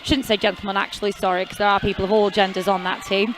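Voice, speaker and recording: A woman's voice: mid-range voice, level tone, fast talker, crowd noise, background noise. Chatty woman doing roller derby commentary. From half Welsh, never lived in Wales. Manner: chatty, jokey, rambling asides. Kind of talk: casual live sports commentary.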